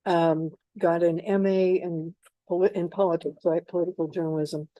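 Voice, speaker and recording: A woman moderating a live panel discussion, speaking informally in halting phrases with asides. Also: lowish voice, flat delivery, mellow, no echo, muffled recording. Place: live panel event at a university.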